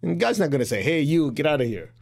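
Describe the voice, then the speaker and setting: A man's voice: fairly high pitch, sing-song, fast, gravelly, darkish tone. Blunt middle-aged man, Chinese accent. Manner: blunt and direct, acts out quoted voices, laughs at own jokes. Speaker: spiritual teacher. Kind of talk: motivational spiritual talk.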